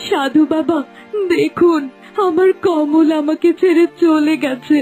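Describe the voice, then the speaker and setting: A woman's voice: sits high, steady tone, takes it slowly, dark tone, soft background music. A woman playing a devoted young woman in love, pleading melodramatically in a cartoon. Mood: grief-stricken.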